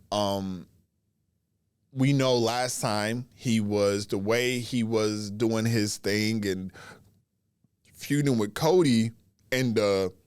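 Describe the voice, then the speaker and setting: A man hosting a wrestling podcast in casual solo commentary, sounding stuffy and congested as he gets over a cold, and talking in drawn-out phrases with pauses. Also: medium pitch, dry recording.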